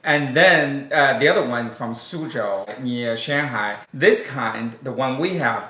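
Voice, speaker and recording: A middle-aged man from China with a Mandarin Chinese accent, co-hosting a casual food chat, pausing often with "uh". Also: medium pitch, bright, forceful tone, roomy sound. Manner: halting, many "uh" pauses. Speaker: co-host.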